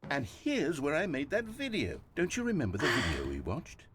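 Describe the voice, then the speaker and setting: Wildly expressive, pompous nostalgic narrator. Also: male voice, a little high, moderate pace. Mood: fondly nostalgic. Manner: pompous, verbose, sentimental. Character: wistful, pompous male narrator obsessed with the past. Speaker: game narrator. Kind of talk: theatrical scripted game narration.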